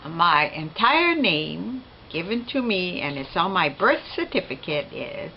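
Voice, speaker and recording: A woman in her seventies from Hawaii answering interview questions off the cuff in short, measured phrases. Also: on the low side, big pitch swings. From Hawaii, United States. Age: 70s. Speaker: interviewee, retired school teacher. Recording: dull sound with faint background noise.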